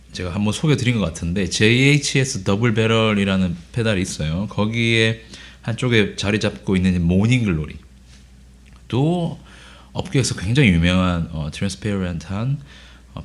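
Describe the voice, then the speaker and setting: A man speaks in a somewhat low register, his voice hoarse from a cold. He explains guitar pedals in an unhurried, conversational way, pausing often with filler sounds as he thinks. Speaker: guitar teacher. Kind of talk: casual gear demo lesson.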